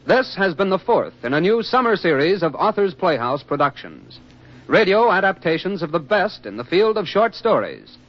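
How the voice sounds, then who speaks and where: A dull vintage radio recording. An American male announcer reads scripted credits in a fairly high, lively voice. His delivery is formal and enunciated in the 1940s manner. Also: normal speed.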